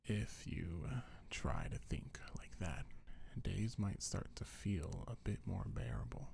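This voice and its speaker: A man speaking gentle, soothing ASMR affirmations in a breathy, husky half-whisper, unhurried and close to the microphone. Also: low voice, big pitch swings. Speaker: ASMR creator. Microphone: close microphone, dry.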